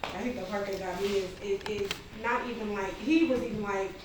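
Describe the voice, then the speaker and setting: A woman's voice: mid-range voice, fairly even intonation, fairly quick, background noise. A woman in a casual group discussion, speaking earnestly and emphatically, repeating key phrases. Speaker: cast member at a table read. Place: indoor room at a table read. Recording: very echoey room, distant mic, people behind.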